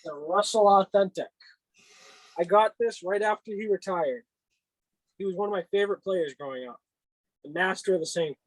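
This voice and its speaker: A man's voice: high-pitched, normal speed, long pauses, no echo, low-quality recording. A man with a Boston accent chatting casually about sports as co-host of a hobby show. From Boston, northeastern US. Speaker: co-host of a cap and card show.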